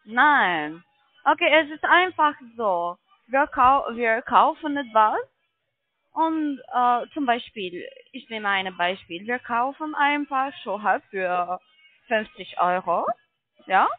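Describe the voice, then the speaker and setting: High-voiced woman on a tinny phone line. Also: expressive, takes it slowly, no room sound. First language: not German. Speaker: call centre sales agent. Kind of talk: persuasive phone sales pitch. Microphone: telephone.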